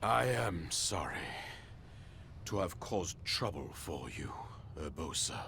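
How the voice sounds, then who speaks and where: A man playing a grave, weary older warrior in a video game cutscene, with measured, halting delivery. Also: sits low, big pitch swings, vocal fry, pushed and bright, slight background noise, exaggerated voice. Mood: weary regret. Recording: music behind the voice.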